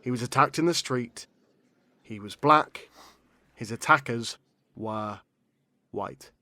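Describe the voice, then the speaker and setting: Stiff defensive witness giving evidence, insistent and clipped, sharp dry sound. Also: male voice, mid-range voice, takes it slowly, no room sound, full character voice. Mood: firm, irritated. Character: defensive, formal male witness testifying under questioning. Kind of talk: courtroom testimony drama.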